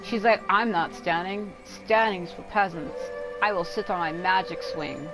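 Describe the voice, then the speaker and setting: A woman's voice: lowish voice, a little slow, low-quality recording. A woman livestreaming a game with casual, playful and sarcastic commentary. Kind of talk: casual livestream commentary, reading dialogue aloud. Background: game music.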